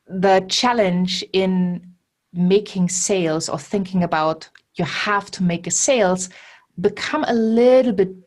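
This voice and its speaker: A German woman with a German accent hosting a podcast interview, enthusiastic, laughing often and repeating words as she starts sentences. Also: medium pitch. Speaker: podcast host. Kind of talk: podcast interview and sponsor read.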